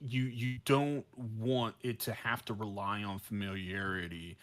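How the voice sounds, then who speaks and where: A man's voice: lowish voice, little pitch movement, measured pace, a bit bright. A man co-hosting a podcast in casual, opinionated discussion, often repeating and restarting phrases with exasperated disbelief. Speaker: podcast co-host.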